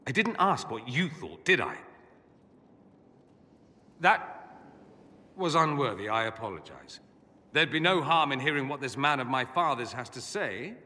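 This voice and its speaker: A man's voice: mid-range voice, sing-song, measured pace, long pauses, sharp tone. An actor playing a witty, proud, bitter young nobleman mage in a video game, with a sardonic, theatrical and eloquent delivery. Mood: sardonic, resentful. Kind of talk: dramatic video game acting. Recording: long hall reverb.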